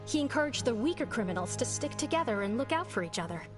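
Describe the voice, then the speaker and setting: An earnest street-gang member. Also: female voice, a little high, sing-song, fast, music in the background.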